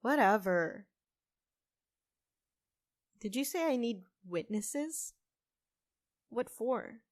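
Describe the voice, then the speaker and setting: A woman's voice: fairly high pitch, lively intonation, very slow, no echo. A woman playing a guarded, world-weary young person in an audio drama, dry, curt and defensive.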